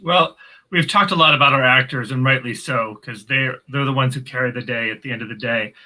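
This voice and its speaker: A man speaking as an interview guest, chatting casually in a measured way with dry humor. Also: medium pitch, pushed and bright, poor audio. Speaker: podcast guest, audio drama writer.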